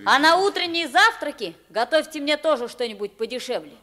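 A lively, high-voiced boy king. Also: a little fast, heavily acted. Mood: brisk, decisive. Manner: animated, frank, inquisitive. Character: poor boy mistaken for a young king, naive, plain-spoken. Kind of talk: 1950s Russian radio play acting.

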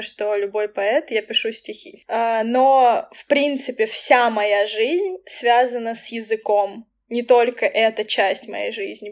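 A young woman, a poet and language teacher, chatting casually in a podcast and telling stories with quick asides. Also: fairly high pitch.

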